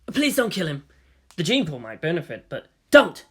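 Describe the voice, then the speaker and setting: Man acting a younger male agent, wry, exasperated, casual griping, high bright voice, audiobook sidekick. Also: wildly swooping pitch, normal speed, breathy edge, heavily acted. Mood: nervy pleading.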